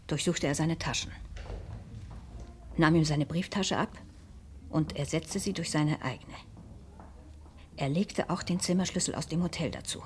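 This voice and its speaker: An actress in a 1970s German radio play, playing a composed, determined lady sleuth who narrates and speaks in a measured, deliberate way. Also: sits low, darkish tone, slight background noise, natural voice.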